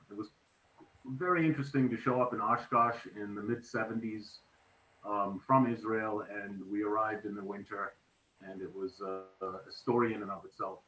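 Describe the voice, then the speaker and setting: A man's voice: fairly low pitch, fairly even intonation, normal speed, bright, forceful tone. A middle-aged man from South Africa talking as a guest on a video call, hesitant and restarting his sentences. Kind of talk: casual interview chat. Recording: echoey room.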